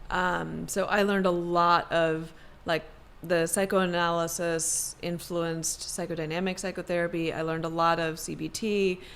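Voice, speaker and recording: Reflective woman psychiatrist, lower voice. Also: little pitch movement, unhurried, a bit bright, clear, resonant tone. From Maryland, United States. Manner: thoughtful, frequent fillers and self-corrections. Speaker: psychiatrist guest. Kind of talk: casual conversational interview.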